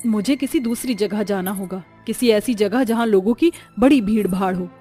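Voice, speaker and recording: A woman's voice: mid-range voice, moderate pace, dark tone, soft background music, close to an everyday voice. A woman voicing a hardworking young daughter-in-law in a moral story, hawking tea and samosas in sing-song vendor calls. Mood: worried.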